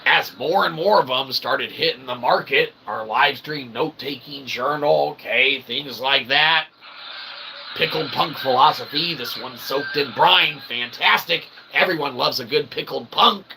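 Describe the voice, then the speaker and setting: A man's voice: medium pitch, a little slow. A man hosting a vlog in a casual, rambling monologue, slangy and sarcastic with joking asides. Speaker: vlog host and self-published author. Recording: faint background noise.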